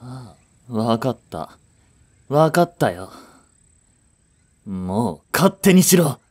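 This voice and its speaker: A man's voice: mid-range voice, very animated, slow, a little mellow, breathy edge, exaggerated voice. A man playing a frustrated young working boyfriend in a romantic audio drama, speaking in blunt, casual masculine language. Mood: upset. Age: young adult.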